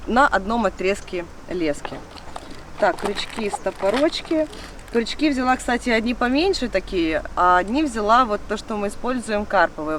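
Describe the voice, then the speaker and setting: Chatty lady vlogger w stuffy nose, excited, bit far from mic, noisy outside. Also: medium pitch. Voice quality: nasal. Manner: chatty, enthusiastic. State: congested from a cold. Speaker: fishing channel host. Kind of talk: casual outdoor vlog talk. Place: outdoors by a fishing lake. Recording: outdoors, away from mic, clear background noise.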